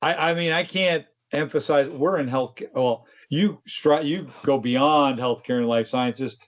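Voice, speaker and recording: A man with a somewhat high-set voice co-hosts a casual tech discussion. He explains things conversationally and drops in informal asides. The sound is a little dull and lo-fi. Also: unhurried. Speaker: co-host of a tech discussion show.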